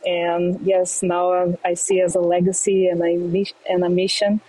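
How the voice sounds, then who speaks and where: A woman's voice: fairly low pitch, monotone, a little hiss or hum, low-quality recording. A woman from Brazil in her thirties, answering interview questions in English with frequent fillers and restarts. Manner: halting, many fillers and restarts, soft laughs. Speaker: guest, fintech entrepreneur.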